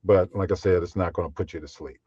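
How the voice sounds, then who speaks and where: Deep-voiced man with a low, measured delivery. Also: fairly even intonation, warm tone, breathy edge, no echo, lo-fi sound. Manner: measured, unhurried, with frequent fillers. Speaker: guest voiceover artist. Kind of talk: podcast interview conversation.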